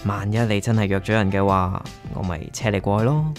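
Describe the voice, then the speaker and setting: A man's voice: lowish voice, steady tone, fairly quick, close to an everyday voice. An actor playing a considerate, attentive man courting a woman in a radio drama, with a Hong Kong Cantonese accent. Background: faint background music.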